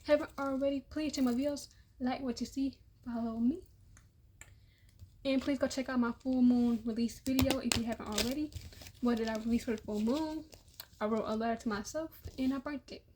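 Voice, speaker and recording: A young adult woman, a tarot reader losing her voice, giving a casual, chatty reading that repeats itself and drops into soft murmurs. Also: fairly high pitch, steady tone, fairly quick, slight background noise. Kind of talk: casual tarot reading for all zodiac signs.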